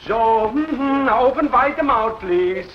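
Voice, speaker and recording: A chuckling German-accented dentist, high and bouncy. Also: male voice, animated, slow, exaggerated voice. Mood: coaxing. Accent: German, put on. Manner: bossy, chuckling, sing-song orders. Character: excitable fussy male dentist with a German accent. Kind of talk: vintage comedy sketch. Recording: dull lo-fi old recording with hiss.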